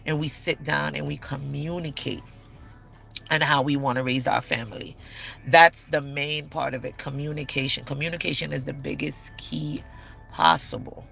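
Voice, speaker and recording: A woman's voice: sits low, steady tone, normal speed, vocal fry, fairly bright tone, faint music behind. A woman in her thirties giving relationship advice in a blunt, candid, slangy way. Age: 30s. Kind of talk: casual advice talk to camera.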